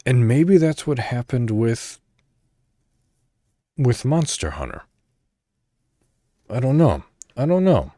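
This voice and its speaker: A man's voice: medium pitch, very animated, drawn-out words, pauses often, mellow, no echo, studio quality. A man hosting a solo podcast, talking in an informal, conversational way with rambling asides and some reading aloud. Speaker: podcast host. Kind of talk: casual solo podcast commentary, partly reading articles aloud.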